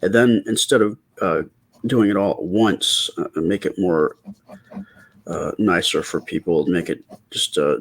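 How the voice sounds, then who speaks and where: A middle-aged man talking softly and casually in ASMR style as he draws, with a quiet, hesitant, rambling delivery. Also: low-pitched, a little slow. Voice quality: quiet, soft-spoken voice. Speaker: artist and channel host. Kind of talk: casual ASMR storytime while drawing.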